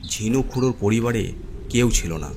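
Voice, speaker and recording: A man's voice: fairly low pitch, normal speed, darkish tone, a little hiss or hum. A man narrating a scripted first-person horror story as its storyteller. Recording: clear music bed under narration. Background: background music.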